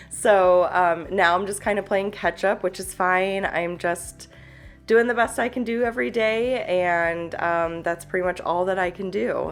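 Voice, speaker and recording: Female vlogger, lowish voice, stuffed up from a cold; loose, chatty, filler-heavy delivery over light background music. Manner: rambling, friendly, frequent fillers and small laughs. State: congested, stuffy nose. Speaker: planner YouTuber. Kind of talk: casual chatty vlog talk.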